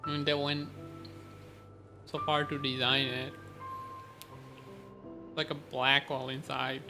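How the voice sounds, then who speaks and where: A man's voice: mid-range voice, little pitch movement, slow, creaky. A man giving casual gameplay commentary, reacting in a musing, hesitant way. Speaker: game streamer. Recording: game music behind voice. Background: game music.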